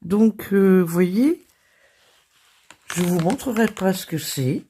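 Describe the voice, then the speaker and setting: A French woman hosting her crafting channel, hoarse from a cold, chatting casually and laughing at herself. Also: mid-range voice, expressive, slow, mellow, a little hiss or hum. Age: older. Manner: chatty and self-deprecating, laughing and coughing. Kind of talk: casual chat.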